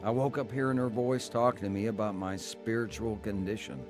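An actor playing a stubborn, troubled husband in a radio drama, plain-spoken and earnest. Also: male voice, lowish voice, fairly even intonation, brisk, music in the background, close to an everyday voice. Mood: earnest recounting. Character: stubborn, troubled husband recounting his conversion. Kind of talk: radio drama narration and dialogue.

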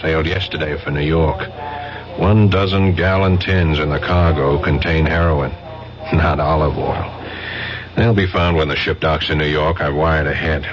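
An actor playing a stern, bitter federal detective in a 1970s radio drama. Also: male voice, very deep voice, steady tone, moderate pace, crowd noise. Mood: cold, bitter. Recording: dull, noisy old radio recording.

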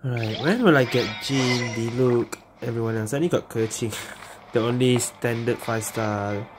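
A man's voice: mid-range voice, steady tone, normal speed. A man giving casual let's play commentary as a gaming streamer. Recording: game music behind the voice.

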